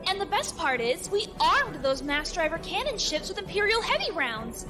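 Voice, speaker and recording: A woman playing an exuberant, brash young crew member with an excitable, gleeful manner in a space opera audio drama. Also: extremely high pitch, normal speed, over music.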